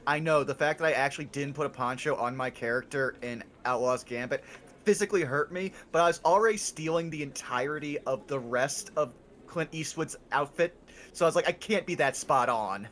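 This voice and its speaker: A man chatting casually on a live stream, excitable and laughing often. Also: fairly high pitch, fairly quick, a little hiss or hum.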